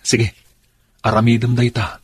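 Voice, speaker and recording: A man with a very husky, rough voice playing an easygoing, colloquial driver in a radio drama. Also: mid-range voice, completely flat delivery, unhurried. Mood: cooperative. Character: easygoing male driver helping friends with a scheme.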